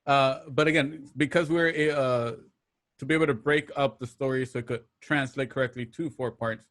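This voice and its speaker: A man hosting an online show, giving casual, slightly halting announcements and plugs to listeners. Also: medium pitch, steady tone, a bit bright. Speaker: host of an online radio drama show.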